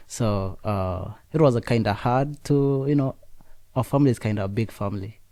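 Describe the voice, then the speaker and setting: A young man from Kenya, raised speaking Nandi, tells his story in an unhurried interview with plenty of fillers and restarts. His voice is mellow and sits a bit low. The sound is dry and close. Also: dry recording. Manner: halting, frequent fillers and restarts. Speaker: interview guest. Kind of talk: casual conversational interview.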